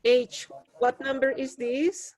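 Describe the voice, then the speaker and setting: Higher-voiced woman teaching an online English class. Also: fairly even intonation, slow pace, a little mellow. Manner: patient, prompting students, mixing Spanish and English. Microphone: video call.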